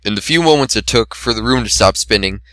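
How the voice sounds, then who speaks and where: Bright-voiced man giving a dramatic reading. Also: lowish voice, lively intonation, fast talker, no echo, clean studio sound. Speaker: amateur reader of fan fiction. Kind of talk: dramatic reading, scripted narration.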